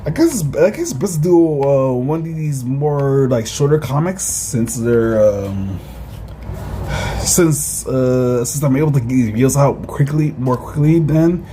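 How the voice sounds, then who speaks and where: A man's voice: medium pitch, expressive, unhurried, dark tone, slight background noise. A man casually commenting on his own comics in a rambling, hesitant way. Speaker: comic creator and reader.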